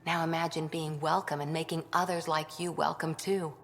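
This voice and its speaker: An actress playing an earnest former commando who longs to belong, frank and reflective in short clipped phrases, in a video game. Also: female voice, low voice, little pitch movement, moderate pace, a bit bright. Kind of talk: video game dialogue acting. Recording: long reverberant hall sound.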